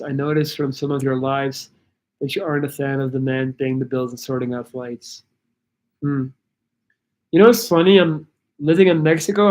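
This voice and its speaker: A man from Belgium chatting casually on a livestream, answering viewer questions in a rambling, halting way with many restarts. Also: a little high, a little mellow. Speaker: YouTuber answering live chat.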